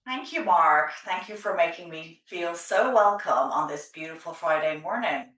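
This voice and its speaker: Irish woman, grew up out west, chatty storyteller, kinda low voice, echoey room. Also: expressive, normal speed. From west of Ireland. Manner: chatty, warm storytelling with frequent ums. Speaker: guest, growth executive. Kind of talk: interview conversation.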